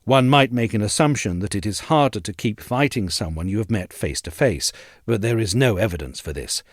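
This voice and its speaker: A man narrating a scripted audio essay in a measured, articulate and formal way. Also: on the low side, dry recording, studio quality.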